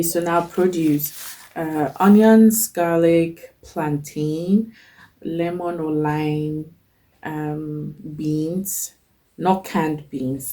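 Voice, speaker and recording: A young woman talks slowly through a kitchen list, pausing on ums. Her voice is low and dark, with a gravelly edge, and she sounds stuffy from a cold. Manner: chatty, list-like, frequent ums. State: has a cold. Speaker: cooking channel host. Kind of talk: casual talk to camera.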